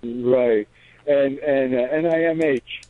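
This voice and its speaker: A man's voice: mid-range voice, takes it slowly, dry recording. Older guy caller on a tinny dry phone line, hesitant and folksy. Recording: dull, dry telephone line.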